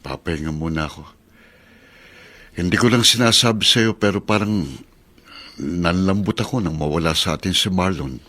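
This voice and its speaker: A man playing a soft-hearted, plain-spoken husband in a radio drama, with a Filipino accent. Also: sits low, moderate pace, pauses often. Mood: wistful.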